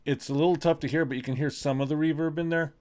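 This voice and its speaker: A man's voice: a little high, steady tone, fast talker, a little mellow. A middle-aged man in his home studio, talking loosely through a mixing tutorial with lots of ums and uhs. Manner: loose and rambling, many ums and uhs, self-deprecating. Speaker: musician showing his vocal mixing. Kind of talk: casual screen-recorded tutorial.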